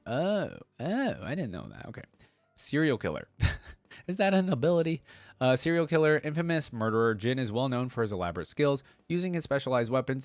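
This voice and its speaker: A man's voice: medium pitch, dry recording. A man hosting a reaction video, reading aloud and breaking off into casual asides and musings. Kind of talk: reading wiki text aloud with casual commentary.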